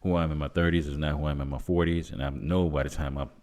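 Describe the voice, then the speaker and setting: A man in his fifties with an extremely low-pitched voice talks through his views in a podcast discussion. His manner is earnest and encouraging, and the delivery is conversational. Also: fairly even intonation, rapid-fire, a little mellow. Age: 50s. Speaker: podcast host.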